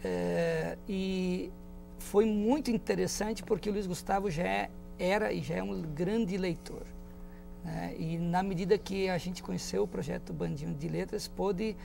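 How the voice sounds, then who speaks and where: A man, a teacher and parent, answering reflectively in a studio interview, often pausing and restarting as he searches for words. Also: sits high, gravelly, darkish tone. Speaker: guest, public school teacher and parent.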